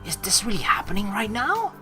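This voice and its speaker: A woman's voice: medium pitch, huge pitch swings, fairly quick, music in the background. A young woman doing casual, sweary let's play commentary and reading a visual novel's narration aloud. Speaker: let's player.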